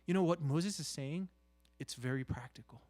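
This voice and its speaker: A man preaching in a conversational style, halting and repeating himself, with rhetorical questions and emphatic phrases that drop into hushed asides. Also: fairly high pitch. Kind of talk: conversational sermon.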